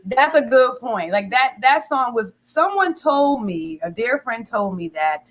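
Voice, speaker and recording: A woman in her forties with a smoky, raspy voice, a singer-songwriter chatting casually in an interview and telling animated stories. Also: fairly high pitch, unhurried. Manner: animated storyteller, laughs easily, acts out quoted friends.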